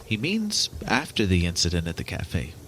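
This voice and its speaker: Precise, literal fellow, low animated voice. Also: male voice, moderate pace, slight background noise, exaggerated voice. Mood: earnest, matter-of-fact. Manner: pedantic, exact phrasing. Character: precise, literal, earnest man named Hastings who cannot lie. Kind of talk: audio drama dialogue.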